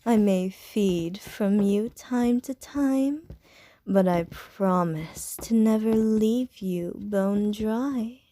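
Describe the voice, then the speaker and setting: Woman playing a slow, teasing female vampire. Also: mid-range voice, no room sound. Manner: slow, soft, teasing, drops into whispers. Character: flirtatious predatory female vampire, teasing and possessive. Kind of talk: ASMR audio roleplay.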